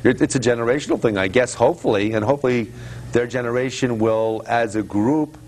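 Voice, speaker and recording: A man hosting a casual talk-show conversation, speaking in a halting, reflective way and often repeating words as he thinks. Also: medium pitch, a little mellow. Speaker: host. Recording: faint background noise.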